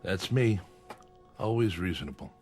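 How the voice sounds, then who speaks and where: An actor playing a menacing bookmaking boss in a radio crime drama, with weary sarcasm and veiled threats. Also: male voice, low voice, expressive, moderate pace, a little mellow, slightly rough, soft background music. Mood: cool, needling. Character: menacing, sardonic male gangland bookmaking boss. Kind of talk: old-time radio crime drama acting.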